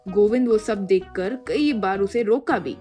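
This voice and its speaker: A woman's voice: mid-range voice, measured pace, dark tone. A woman narrating a scripted moral story, with music behind her.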